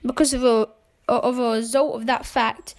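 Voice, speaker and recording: A woman's voice: fairly high pitch, flat delivery, normal speed, close to an everyday voice. A woman playing a cynical, cool male adventurer in an amateur radio play, with dry, mocking retorts. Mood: dry, probing. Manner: dry, sardonic, clipped retorts.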